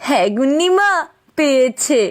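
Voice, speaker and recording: A woman's voice: sits high, expressive, normal speed. A woman voicing a naive, chatty farmer's wife in a cartoon folk tale. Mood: naive, earnest.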